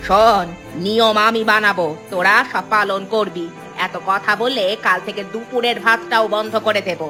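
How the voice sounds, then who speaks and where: A woman's voice: mid-range voice, fairly even intonation, normal speed, music in the background, natural voice. A woman voicing a harsh, bossy older mother-in-law in a village cartoon, scolding and commanding. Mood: stern scolding. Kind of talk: cartoon voice acting.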